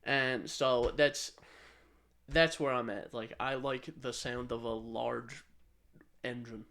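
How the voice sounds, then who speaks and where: A man's voice: mid-range voice, fairly even intonation, slow pace, fairly bright tone. A man co-hosting a podcast, chatting casually and giving opinions in a blunt, sweary way. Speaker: podcast co-host.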